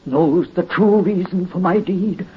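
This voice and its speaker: A dying count gives orders from his deathbed in a weak but imperious voice pitched a little high. He is bitter and set on revenge. The sound is an old 1930s radio recording, dull and faintly hissy. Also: measured pace. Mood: commanding. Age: 50s.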